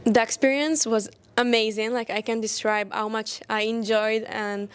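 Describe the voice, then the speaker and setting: A woman's voice: on the high side, fairly even intonation, moderate pace, clear, resonant tone. A young woman from Israel, a design student answering with many fillers and restarts. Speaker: design graduate student, hackathon winner. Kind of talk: interview answers.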